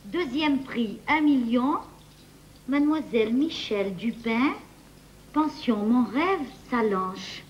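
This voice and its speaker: Neighbourhood woman reading out, comic drama, high voice, deliberate delivery, faint noise. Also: lively intonation. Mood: deliberate, announcing. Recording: slightly muffled, faint noise.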